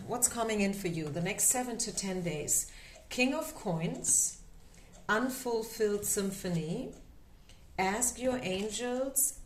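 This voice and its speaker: Woman, German accent, card-reader host; slow and reassuring, echoey room. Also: medium pitch. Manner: unhurried, gently reassuring, frequent filler words. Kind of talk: tarot reading vlog.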